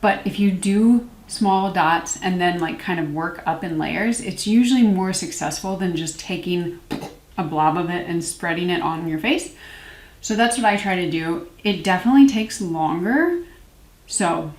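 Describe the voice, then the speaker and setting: A woman's voice: fairly low pitch, unhurried. A woman in her thirties chatting informally to camera in a casual product review, with self-deprecating asides. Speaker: beauty vlogger, series host. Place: small room at home, indoors. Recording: roomy indoor echo.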